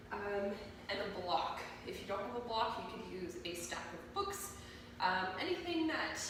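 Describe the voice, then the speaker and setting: A woman with a cold and a slightly nasal voice, guiding a yoga class with calm, slow cues for poses and breathing. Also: medium pitch. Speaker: yoga instructor. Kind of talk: guided yoga class instruction. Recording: echoey room, mic at a distance, audible noise.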